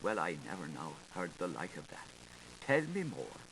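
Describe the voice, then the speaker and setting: A man's voice: low voice, wildly swooping pitch, normal speed, a bit bright, voice pushed down, full character voice, rough recording. A man voicing an eager, nosy washerwoman in a dramatic audiobook reading, insistent and full of questions. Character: eager, nosy Irish washerwoman hungry for gossip. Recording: hissy 1985 tape recording.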